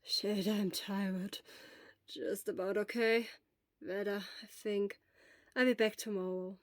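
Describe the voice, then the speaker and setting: A woman voicing an exhausted, grumpy young male patient in an audio story, mumbling curtly in a hoarse, whispery voice. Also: mid-range voice, steady tone, slow pace, natural voice. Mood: tired, flat. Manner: mumbling, curt, weary. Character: grumpy, exhausted, terse young man recovering in hospital.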